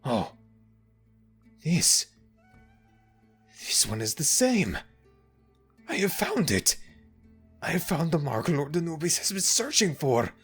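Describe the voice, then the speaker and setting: A man voicing a breathy, hushed temple servant. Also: fairly high pitch, sing-song, takes it slowly, long pauses, faint music behind, heavily acted. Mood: excited. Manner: hushed reporting, then breathless urgency. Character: temple servant, dutiful, easily excited. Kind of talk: ASMR audio roleplay.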